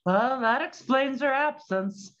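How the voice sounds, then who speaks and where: A blunt, matter-of-fact 1920s woman in a radio drama, played by an actress. She speaks slowly and fairly high, on a dull lo-fi recording. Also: a bit bright. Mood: brisk, blunt. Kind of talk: radio play acting. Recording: dull, lo-fi sound.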